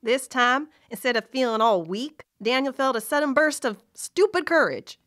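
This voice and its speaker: A woman's voice: sits high, expressive, moderate pace, a bit bright, dry recording, studio quality. A woman playing a folksy, wry storyteller, narrating a scripted Wild West audio play.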